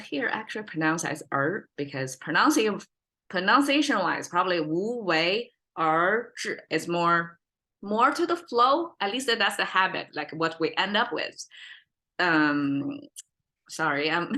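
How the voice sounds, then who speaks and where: Bright-voiced woman teacher, Chinese accent. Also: fairly low pitch. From China. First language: Mandarin Chinese. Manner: rambling, self-correcting, checks in with listeners. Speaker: language teacher, podcast host. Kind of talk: informal spoken lesson.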